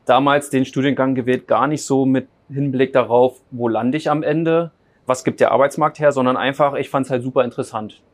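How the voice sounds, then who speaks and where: A man's voice: medium pitch, steady tone, brisk. Rambling man with many restarts. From Berlin, Germany. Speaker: data scientist guest. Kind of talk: casual interview chat.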